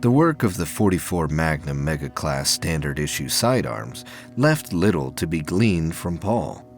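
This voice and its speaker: A man with a low voice narrates a science fiction audio drama. His delivery is formal and measured, with lively movement in pitch, and faint music plays behind him.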